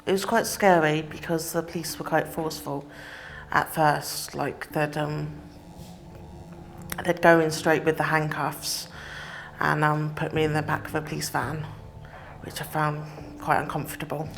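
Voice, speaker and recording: A woman describing her experiences in an interview, quiet and subdued. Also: low voice, little pitch movement, measured pace, vocal fry, reverberant, a little hiss or hum. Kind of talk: interview testimony.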